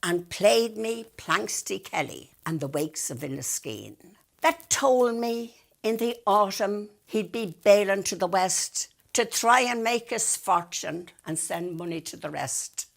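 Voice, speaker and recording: An Irish woman giving a dramatic recitation of narrative verse, telling it like a story with deliberate pauses and emphasis. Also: medium pitch, lively intonation, gravelly. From Ireland. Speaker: monologue reciter.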